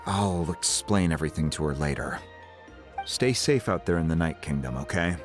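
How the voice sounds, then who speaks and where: An actor voicing a calm, protective, fatherly mentor in a video game, with a measured, reassuring delivery. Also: deep voice, music in the background. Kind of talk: dramatic video game dialogue.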